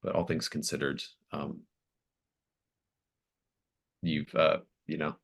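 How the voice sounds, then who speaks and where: A game master with an extremely low voice narrates a tabletop roleplaying scene. The descriptions are improvised and thoughtful, arriving in halting phrases as each image is put together. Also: level tone.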